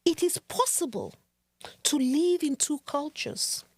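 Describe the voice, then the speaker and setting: A woman hosting a podcast, giving an earnest, measured and rhetorical monologue. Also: sits high, expressive, a little mellow, no room sound. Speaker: podcast host.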